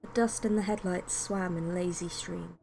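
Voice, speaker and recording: British woman streamer, lowish voice, some noise. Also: fairly even intonation, moderate pace, creaky, darkish tone. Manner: chatty, informal. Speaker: game streamer. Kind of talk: casual live-stream chat with read-aloud story narration.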